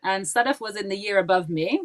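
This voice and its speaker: A woman's voice: medium pitch, fairly quick. A woman in her forties who grew up in Pakistan, chatting as a podcast guest, talking readily and laughing easily between thoughts. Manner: chatty and warm, laughing often, many ums. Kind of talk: casual interview chat.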